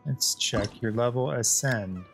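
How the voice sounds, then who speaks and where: A man's voice: mid-range voice, a little slow, creaky. Chatty male gamer over background music. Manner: chatty, talks to game characters. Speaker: gaming streamer. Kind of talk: casual gameplay commentary.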